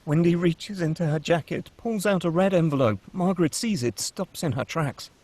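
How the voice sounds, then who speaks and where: A man narrating the stage directions at a script table read, steady and descriptive. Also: fairly high pitch, moderate pace, dry recording.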